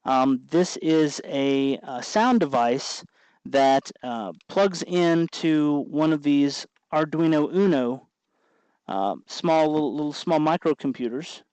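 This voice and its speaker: A man hosting an informal electronics tutorial, explaining things off the cuff in a halting way as he thinks aloud. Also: on the high side, animated, a little mellow, clear, resonant tone, dry recording, poor audio. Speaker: host of an electronics tutorial show. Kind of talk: unscripted hands-on tutorial narration.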